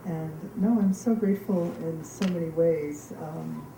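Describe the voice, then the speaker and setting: A woman's voice: sits low, measured pace, vocal fry, warm tone. A woman from Cuba, a guest speaker addressing a live gathering in a reflective way with frequent ums. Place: community hall. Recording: echoey hall, distant mic, noisy.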